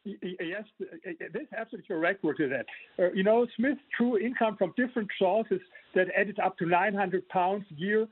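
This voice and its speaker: German man, author guest, husky and dry, tinny remote line, earnest explainer. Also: high-pitched, fairly quick, dry recording. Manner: earnest, explanatory, frequent restarts. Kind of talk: remote interview.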